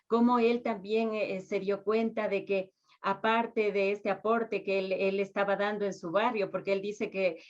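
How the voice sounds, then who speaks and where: A woman's voice: mid-range voice, steady tone, unhurried. A young woman, hosting a conversational interview with polite, formal questions. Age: young adult. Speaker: interviewer, host. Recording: dull, lo-fi sound.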